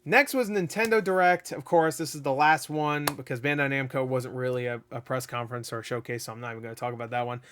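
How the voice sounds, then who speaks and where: A man hosting his own gaming channel, giving a rambling, excitable review with frequent laughs and the odd swear, his voice getting a little raspy. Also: mid-range voice, fast, hardly any pauses, a little hiss or hum. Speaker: gaming video host. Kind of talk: casual unscripted review.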